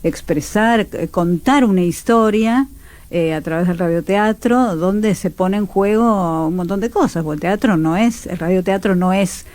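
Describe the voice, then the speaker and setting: A woman's voice: mid-range voice, moderate pace, darkish tone, dry recording. A woman with a Rioplatense Argentine accent, speaking conversationally as a radio interview guest and explaining things reflectively. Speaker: radio theatre teacher and announcer, interview guest.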